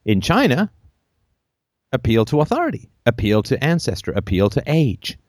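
A man hosting a call-in show, talking in a lively, emphatic way and repeating phrases for effect. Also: medium pitch, normal speed, no room sound, clean studio sound.

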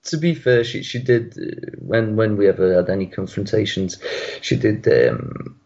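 A man's voice: fairly low pitch, big pitch swings, moderate pace, a little mellow, highs cut off. A man with a British accent calling in for advice, giving short replies, laughing often and swearing casually. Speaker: caller. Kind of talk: call-in advice conversation.

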